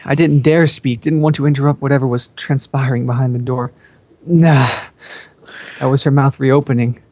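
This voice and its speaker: Gravelly man reading, dull lo-fi sound. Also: mid-range voice, a little mellow. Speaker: story reader. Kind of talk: amateur fan fiction reading. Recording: dull, lo-fi home microphone.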